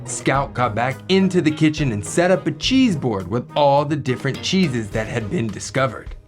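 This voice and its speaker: A man's voice: mid-range voice, expressive, normal speed, faint music behind. A man co-hosting a children's read-aloud, narrating in a playful, theatrical way.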